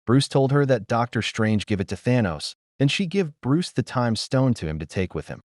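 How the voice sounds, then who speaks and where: A man's voice: mid-range voice, very animated, normal speed, darkish tone, no room sound, studio quality. A man retelling a story as an amateur reviewer, in short, choppy, loosely worded sentences. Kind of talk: simple spoken retelling of a film's plot.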